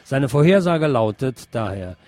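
A man's voice: mid-range voice, sing-song, a little slow, a little mellow, no room sound. A man reads scripted news commentary aloud as a presenter. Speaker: podcast presenter reading press articles.

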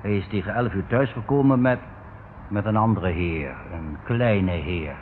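An actor in a radio drama playing a formal, dutiful doorman who speaks in a stiff, deferential, measured way. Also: lowish voice, natural voice. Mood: formal, discreet. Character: formal, dutiful doorman of a London residential building. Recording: tinny, noisy old radio recording.

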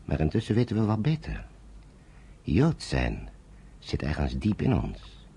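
An actor playing a retired general in a radio drama, older, courteous and reflective, speaking formally and deliberately. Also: male voice, low voice, huge pitch swings, gravelly, mellow, husky, exaggerated voice. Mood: earnest, reflective. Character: thoughtful retired English general, courteous and introspective.

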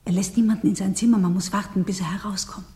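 An actress playing a composed, helpful hotel resident in a radio play, speaking in a matter-of-fact way. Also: female voice, mid-range voice, steady tone, fast talker, in a large hall, loud music behind the voice, natural voice. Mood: explanatory. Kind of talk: German radio play acting.

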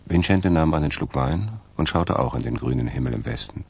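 A man narrating a radio crime drama in a measured, dry way, with a gravelly, husky voice. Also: very deep voice, lively intonation, a little mellow, full character voice. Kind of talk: scripted crime story narration.

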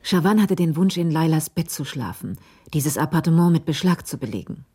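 A woman narrating a radio crime drama in a measured, literary storytelling manner. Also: sits low, expressive, dark tone, breathy edge.

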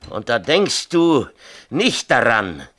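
A man's voice: medium pitch, big pitch swings, slow, a bit bright, exaggerated voice. An actor playing a tipsy, middle-aged small-town mayor and father, ranting confessionally and seeking agreement in a radio drama. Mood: remorseful, agitated. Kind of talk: 1970s East German radio drama acting.

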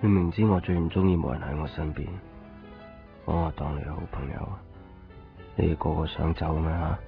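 A possessive, brooding young man in a Hong Kong Cantonese radio drama. He talks fast and curtly, demanding and easily angered. The recording is a dull old broadcast. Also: extremely low pitch, fairly even intonation, gravelly, music in the background.

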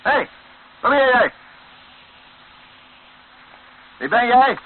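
An actor playing a brash, ruthless mercenary captain in a radio drama, blunt and commanding. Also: male voice, very high-pitched, animated, normal speed. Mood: barking, urgent. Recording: tinny band-limited old radio recording, noisy.

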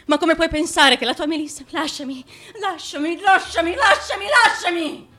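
An actress playing the devoted, tormented wife of an ancient Greek tyrant in a radio drama monologue, with an intense, theatrical delivery. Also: female voice, very high voice, moderate pace, soft background music. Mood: desperate, frightened. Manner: intense, dramatic, with hushed asides and outcries.

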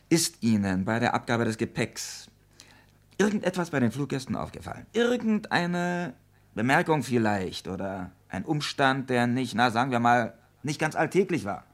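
Brusque police inspector. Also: male voice, fairly low pitch. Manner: brusque, probing questioning. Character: gruff, impatient male police inspector on a murder case. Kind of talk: old German radio crime drama acting.

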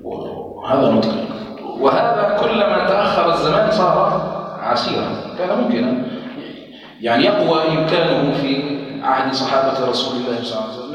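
A male sheikh teaching a lesson on legal theory, repeating key phrases and often asking whether it is clear. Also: on the high side, fairly bright tone, lo-fi sound, a little hiss or hum. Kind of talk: classroom lecture. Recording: echoey hall, mic far off.

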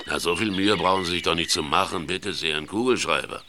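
A man's voice: sits low, fairly quick, noisy background. A man playing an easygoing, politely reassuring delivery driver in a German audio drama. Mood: casual, reassuring.

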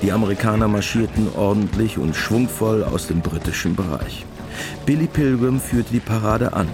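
A man narrating a scripted radio drama in a deadpan, matter-of-fact way. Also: on the low side, normal speed, music in the background, lightly acted.

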